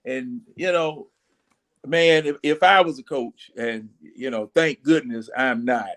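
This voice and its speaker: A man's voice: mid-range voice, slow, a bit bright. Older man in his sixties, folksy talker. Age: 60s. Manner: rambling, folksy storytelling. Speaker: sports talk panelist. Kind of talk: casual football fan chat.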